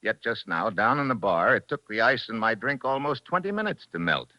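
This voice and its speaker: An actor playing a tough, wry American investigator in a clipped, matter-of-fact 1940s radio drama style. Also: male voice, on the low side, big pitch swings, brisk, sharp tone, dry recording. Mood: confident, accusing. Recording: muffled vintage 1940s radio recording.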